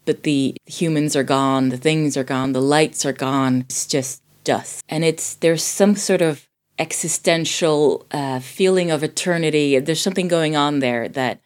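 A woman from Sweden, a native Swedish speaker, casually discussing a film in a thoughtful way, often pausing to rephrase. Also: low voice. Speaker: podcast guest reviewing a film.

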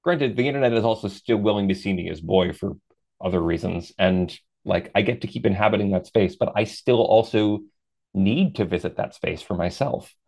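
A man in his thirties with a deep voice, a podcast guest talking things through in a reflective, measured way with lively asides. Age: 30s. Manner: reflective and measured, lifts into animated quoted asides. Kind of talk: casual interview chat.

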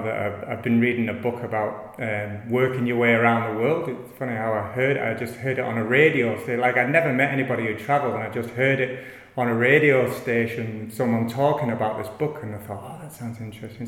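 A man with a northern English accent, a guest telling his life story in a halting, rambling way with many restarts. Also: mid-range voice, a bit bright. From north of England. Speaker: yoga studio owner, guest. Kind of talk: casual interview, rambling storytelling. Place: yoga studio. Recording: echoey studio room, long reverb.

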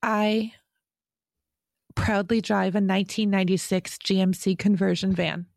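A woman's voice: mid-range voice, fairly even intonation, measured pace, creaky, a little mellow, no echo, clean studio sound. A woman in her forties from Rochester, New York, hosting a podcast in a chatty, self-deprecating way and laughing often. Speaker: podcast host. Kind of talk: casual podcast chat.

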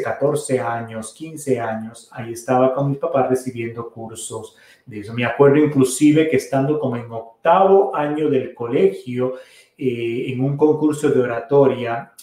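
A middle-aged man, a priest hosting a casual podcast, answering listener questions in a relaxed, rambling way with many fillers and restarts. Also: mid-range voice. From Costa Rica. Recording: very echoey room.

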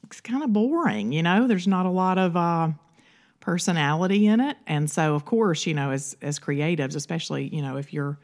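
A woman's voice: fairly low pitch, animated, moderate pace, darkish tone. A woman co-hosting a podcast in a casual, chatty way, often padding her sentences with filler phrases. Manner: chatty, peppered with "you know". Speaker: podcast co-host. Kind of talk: casual conversational podcast talk.

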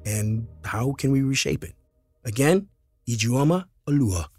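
A man's voice: mid-range voice, lively intonation, unhurried, warm tone, husky, no room sound, clean studio sound. A man reading scripted documentary narration. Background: faint music.

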